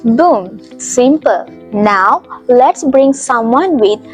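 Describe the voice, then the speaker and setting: A woman's voice: on the high side, expressive, extremely slow. A woman hosting a student show, reading a scripted intro in an upbeat announcer manner over music. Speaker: host.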